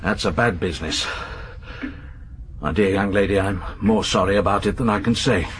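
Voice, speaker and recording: An actor playing an older retired army major in a radio drama, with a blunt, courteous, emphatic manner. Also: male voice, low voice, steady tone, moderate pace, fairly bright tone, slight background noise. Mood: grave, frank. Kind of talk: broadcast radio drama acting.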